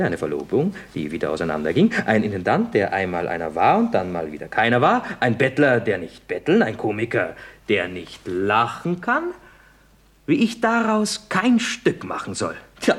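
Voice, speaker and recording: Young male playwright, radio comedy, swooping intonation, slightly husky, ironic, mock-courteous. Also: deep voice, huge pitch swings, moderate pace, exaggerated voice. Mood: brisk, theatrical. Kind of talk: German radio comedy acting.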